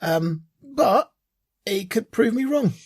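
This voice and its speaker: A man's voice: high-pitched, animated, slow pace, a little mellow, dry recording, studio quality. A man co-hosting a podcast in casual chat, giving opinions informally and repeating phrases for emphasis. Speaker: podcast co-host.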